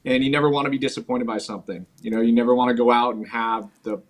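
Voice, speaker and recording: A man's voice: medium pitch, steady tone, fast talker. A man speaking as a guest in a casual interview, easygoing and earnest. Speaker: company CEO, guest.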